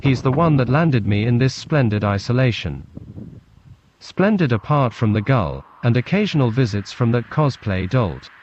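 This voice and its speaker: A man with a Scottish accent reads a literary story laced with Scots words in a deadpan, clipped manner. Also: mid-range voice, normal speed. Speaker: narrator, storyteller. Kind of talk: literary story reading in Scots-flecked English. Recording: muffled lo-fi recording with background noise.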